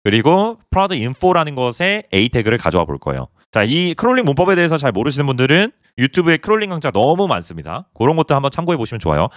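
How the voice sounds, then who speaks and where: A man's voice: medium pitch, very animated, fast talker, hardly any pauses, no room sound, clean studio sound. A man teaching a screen-recorded coding tutorial, explaining step by step as he points things out on screen. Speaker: programming instructor.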